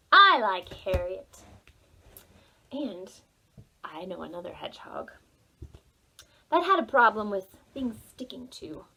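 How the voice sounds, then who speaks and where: A woman telling a story to preschoolers as a children's librarian, in a sing-song manner with drawn-out words. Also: mid-range voice, gravelly, pushed and bright, breathy edge, poor audio.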